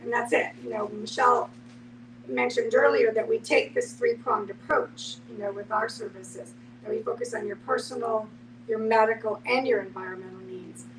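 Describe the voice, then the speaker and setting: A woman's voice: on the high side, steady tone, normal speed. Woman explaining, distant in a noisy room. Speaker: host and care services professional. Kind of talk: guided group discussion.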